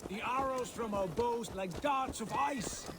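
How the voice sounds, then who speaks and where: An actor playing a proud, boastful Viking lord in a video game, fiery and declamatory with archaic phrasing. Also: male voice, very high voice, measured pace, noisy background. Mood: exhilarated.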